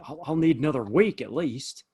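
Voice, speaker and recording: A man playing a weary Old West saloon keeper in an audio play, husky, hesitant and folksy. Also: mid-range voice, lively intonation, normal speed, darkish tone. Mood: indignant, worried. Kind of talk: Western audio play acting.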